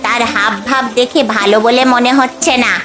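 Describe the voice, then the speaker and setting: High-voiced protective talking bird played by a woman. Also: fairly even intonation, moderate pace, music in the background, natural voice. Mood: cautioning. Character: watchful, protective colourful magic bird, female, stern. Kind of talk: cartoon story voice acting.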